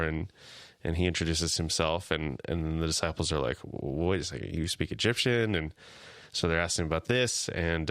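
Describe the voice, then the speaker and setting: A man co-hosting a podcast, chatting casually and retelling scenes with quick paraphrased lines of dialogue. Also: sits low, a bit bright, no room sound, studio quality. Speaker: podcast co-host. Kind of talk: casual chat.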